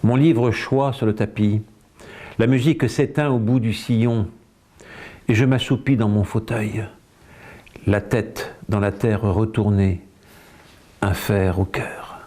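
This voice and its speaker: A man reading poetry aloud in a measured way, in short phrases separated by pauses. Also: fairly low pitch, fairly even intonation, mellow. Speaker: poetry reader. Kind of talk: quiet poetry recitation.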